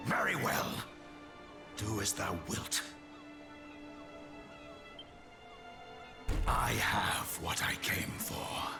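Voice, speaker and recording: Slow, pompous dragon overlord. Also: male voice, on the low side, creaky, over music, exaggerated voice. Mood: cold command. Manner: pompous, archaic, commanding speech. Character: menacing, grandiose Dragon Lord, monstrous dark ruler. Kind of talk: theatrical fantasy game acting.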